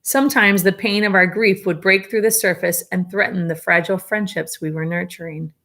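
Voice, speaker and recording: A woman's voice: on the low side, brisk. Woman teacher reading a book aloud. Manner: steady read-aloud with small slips, self-corrections and chatty asides. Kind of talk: reading a memoir aloud to students.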